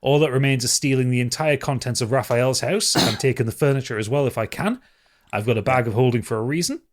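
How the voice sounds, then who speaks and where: A man's voice: medium pitch, fast talker, background noise. A man co-hosting a casual podcast, reading out messages and chatting with dry humour and some swearing. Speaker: podcast co-host. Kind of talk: casual chat, reading out listener feedback.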